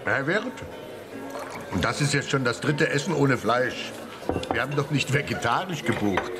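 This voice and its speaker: An actor playing a grumbling, jocular husband on a package tour in a radio drama. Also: male voice, medium pitch, lively intonation, normal speed, lightly acted. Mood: complaining. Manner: complaining, joking. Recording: music behind the voice.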